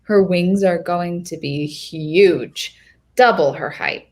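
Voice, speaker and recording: A woman playing a hopeful, nervous young girl who tells her own storybook tale in a deliberate, expressive reading. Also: on the low side. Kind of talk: first-person storybook narration.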